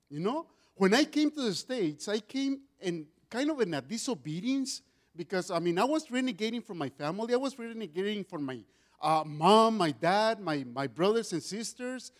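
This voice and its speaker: A middle-aged man from Mexico with a Mexican accent, giving an earnest church testimony in a loud voice with many restarts. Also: high voice. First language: Spanish. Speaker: guest speaker giving testimony.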